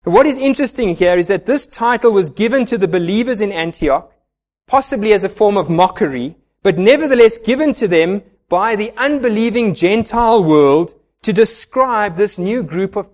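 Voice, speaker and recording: A man's voice: sits high, lively intonation, moderate pace, darkish tone. A man preaching a sermon in a church, earnest and pleading as he explains scripture. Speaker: pastor.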